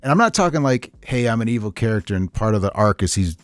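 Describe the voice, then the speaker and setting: Middle-aged male co-host, fairly low pitch, close dry sound, joking and rambling, loose unscripted talk. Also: expressive, fast talker, dry recording. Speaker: podcast co-host. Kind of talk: casual unscripted chat.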